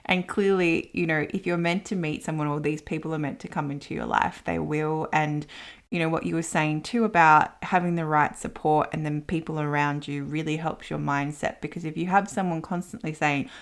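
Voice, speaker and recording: A woman's voice: deep voice, fairly even intonation, moderate pace. A woman hosting a conversational interview podcast, talking in an affirming way. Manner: affirming, reflects back what the guest said. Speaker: podcast host.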